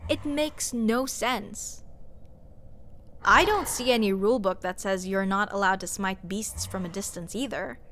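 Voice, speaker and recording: A woman's voice: medium pitch, unhurried, fairly bright tone, slight background noise, lightly acted. A woman playing a snarky, exasperated sorceress in a fantasy audio roleplay, talking to the listener in a sarcastic, emphatic, conversational way. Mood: scoffing, nitpicking. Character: snarky young sorceress, exasperated, scoffing, nitpicking adventurer. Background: fantasy dungeon ambience.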